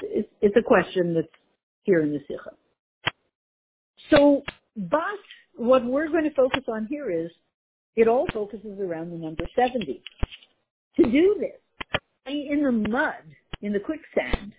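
A woman's voice: mid-range voice, background noise. Woman teaching a Torah class over a phone line. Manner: lively, mixes Hebrew and Yiddish terms, searches for words. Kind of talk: Torah class explaining a text.

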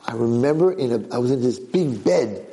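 A man's voice: medium pitch, lively intonation, normal speed, warm tone, natural voice. A man voicing a distraught, disbelieving young male slave in a told story, protesting and pleading as he repeats himself. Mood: amazed remembering. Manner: protesting, pleading, repeats words when agitated.

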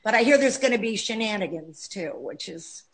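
Female guest, actress, mid-pitched voice, animated and bursting with talk. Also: moderate pace, a loud crowd. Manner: animated, talks in bursts with restarts. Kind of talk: casual interview chat.

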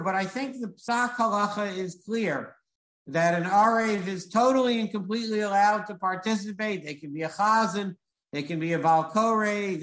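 High-voiced man lecturing in an echoey room. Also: a bit bright. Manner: measured, explanatory, with emphatic repetition.

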